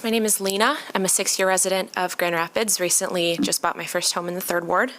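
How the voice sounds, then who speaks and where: An American woman from Michigan delivering a prepared public comment as a resident, measured and earnest. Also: lowish voice, fairly even intonation, a bit bright, slight background noise. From Michigan, United States. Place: city commission meeting room.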